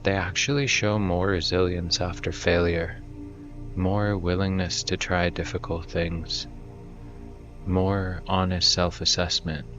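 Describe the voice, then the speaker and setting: Very deep, slow-speaking male meditation guide. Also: a bit bright, muffled recording.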